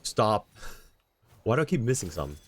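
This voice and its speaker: Low-voiced man streaming a game. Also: sing-song, normal speed, slightly rough. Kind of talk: casual live gameplay commentary, chatting with viewers and reading dialogue aloud.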